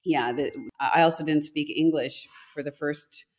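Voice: medium pitch, steady tone, normal speed. Fifty-something guest, first language german, no accent, rambles thru long stories, very direct. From Canada. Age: 50s. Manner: direct, long anecdotes with many restarts. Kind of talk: casual interview talk.

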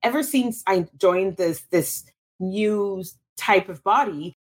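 A woman's voice: mid-range voice, takes it slowly, slightly rough. A woman from Cameroon, a guest in an interview, answering thoughtfully with frequent restarts and fillers.

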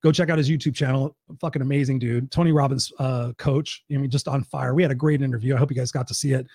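A man with a hoarse voice, hosting a live-stream show as a life coach, talking in an energetic, profane, rambling way. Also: mid-range voice, fast talker, no room sound, studio quality. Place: home.

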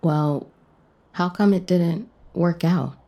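Dorky, curious, teasing woman played by an actress for a radio romance: low-toned, leisurely, hesitant. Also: slow pace, dark tone. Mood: awkward, apologetic. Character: dorky, playful, self-deprecating woman, chatty and curious. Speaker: voice actor in a romance audio play. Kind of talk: naturalistic radio play dialogue.

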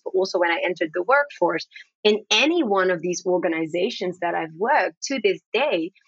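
A woman who grew up in the Netherlands and is a native Dutch speaker, giving thoughtful, measured answers as an interview guest. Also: fairly low pitch, a bit bright, no room sound, highs cut off.

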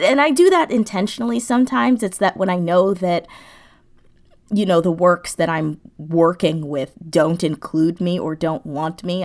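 A woman speaks as an interview guest in a slightly low register. Her delivery is conversational and reflective, and she often circles back to correct herself while searching for the right words. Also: darkish tone. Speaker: audio drama creator being interviewed.

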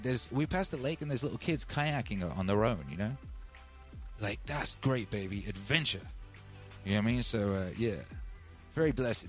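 A man from Wales, chatting casually as a stream host, rambling and upbeat with lots of fillers and shout-outs. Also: fairly low pitch. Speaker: livestream host. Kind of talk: casual chat.

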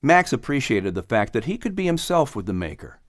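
A man's voice: mid-range voice, very animated, a little fast, no echo, clean studio sound. A man reading a children's story as a scripted audiobook narration.

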